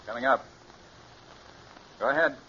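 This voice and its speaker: A man's voice: medium pitch, a little slow, lightly acted. An actor playing a businesslike, concerned man who runs a radio show, in 1940s radio drama style. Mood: brisk. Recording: muffled, noisy vintage radio recording.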